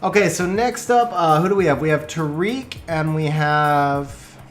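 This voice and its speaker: A man giving lively live commentary on game replays and chatting with viewers, his voice getting worn from a long stream. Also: on the high side, roomy sound, soft background music. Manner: animated, quick play-by-play, bursts of excitement.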